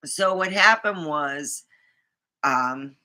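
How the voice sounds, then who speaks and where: Bright-voiced woman rambling casually. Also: low voice, animated, drawn-out words. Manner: rambling, many fillers and restarts, laughs often. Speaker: vlogger.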